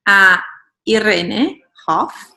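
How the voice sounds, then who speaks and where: A woman's voice: mid-range voice, drawn-out words, creaky, bright, forceful tone. A woman hosting a casual interview, phrasing her introductions and questions loosely and with some hesitation. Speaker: podcast host, interviewer.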